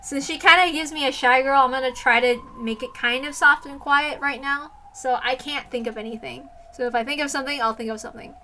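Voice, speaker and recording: A woman streamer reading a visual novel aloud as expressive story narration. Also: sits high, slight background noise.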